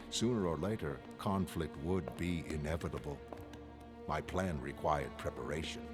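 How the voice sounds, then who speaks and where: A man playing a calm, calculating mastermind in an animated comic, speaking in measured, articulate, grandiose monologue. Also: sits low, natural voice. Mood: grave, reflective. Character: calm, calculating male mastermind confessing his grand plan. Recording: music under the voice. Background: dramatic background music.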